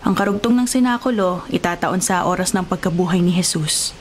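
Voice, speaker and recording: A woman playing a kindly, welcoming small-town nun in a Filipino radio drama, with a gentle, counseling manner. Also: fairly low pitch, fairly quick, slight background noise, close to an everyday voice.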